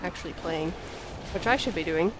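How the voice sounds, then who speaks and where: A woman's voice: lowish voice, fairly quick. Woman, streamer host, chatty, playful, noise behind her voice. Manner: chatty, playful, reacting while playing. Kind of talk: casual gaming stream chat.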